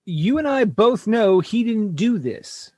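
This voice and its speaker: A man's voice: high-pitched, animated, takes it slowly, a little mellow, heavily acted. A man playing an exasperated, tactless human starship captain in a sci-fi radio comedy, blustering and pleading as he talks.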